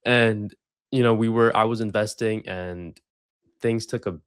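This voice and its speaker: A young man who grew up in Sri Lanka tells his story as a podcast guest. His voice sits fairly low, and the sound is dry and close. He rambles loosely, with many fillers and restarts. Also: fairly even intonation, unhurried, clear, resonant tone, no echo. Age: 20s. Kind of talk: casual interview storytelling.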